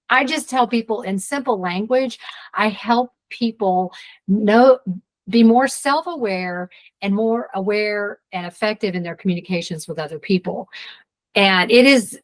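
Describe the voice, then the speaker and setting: A middle-aged woman, an executive trainer, talking as an interview guest, lively and quick to laugh. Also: mid-range voice, rough recording. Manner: lively, laughs often, self-deprecating. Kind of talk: casual interview chat.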